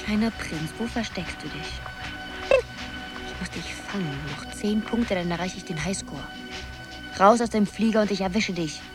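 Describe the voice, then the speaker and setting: A woman's voice: medium pitch, unhurried, long pauses, over loud music, natural voice. An actress playing a dreamy young woman absorbed in a computer game, musing half to herself in a radio drama. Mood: playful, hushed.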